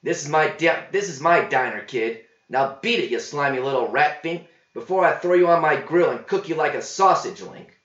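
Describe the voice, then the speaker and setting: Belligerent short-order cook for a storybook, acted by a man, threatening, pushed higher, roomy sound. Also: expressive, normal speed, hardly any pauses, a bit bright. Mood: menacing. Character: gruff, threatening male diner cook and owner. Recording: roomy, slightly dull home recording.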